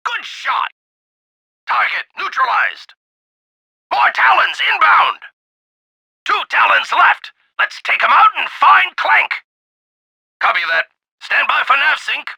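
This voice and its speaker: Casting: bold older alien wingman general for a game, wildly swooping gravelly delivery, loyal and commanding, over dry radio comms. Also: very high-pitched, very animated, slow pace, pauses often, pushed and bright, dry recording, exaggerated voice. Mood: focused, commanding. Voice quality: gravelly, raspy. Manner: military, commanding, emphatic. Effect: radio filter. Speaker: wingman pilot giving orders over radio. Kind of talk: video game voice acting, combat radio chatter.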